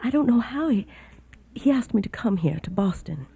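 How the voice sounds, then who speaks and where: A guarded, mellow-voiced young wife. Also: female voice, medium pitch, lively intonation, moderate pace, vocal fry, slightly rough, heavily acted. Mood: determined. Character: guarded, sorrowful young Southern wife, proud and determined. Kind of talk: radio drama acting.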